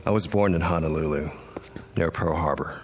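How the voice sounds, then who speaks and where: An actor playing a troubled, quick-tempered young man in a radio drama, hesitant, brooding and intense. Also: rumbling low. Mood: solemn recollection.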